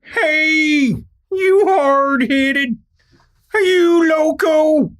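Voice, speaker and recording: A man's voice: very high-pitched, extremely slow, a bit bright, full character voice. A man playing a crude, boastful outlaw in a Western radio play, emphatic and scolding, with an American Western drawl.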